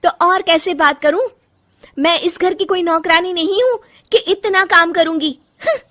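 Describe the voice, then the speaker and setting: A woman playing a frustrated young daughter-in-law in an animated moral story, curt and emotional. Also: very high-pitched, measured pace, heavily acted. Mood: indignant.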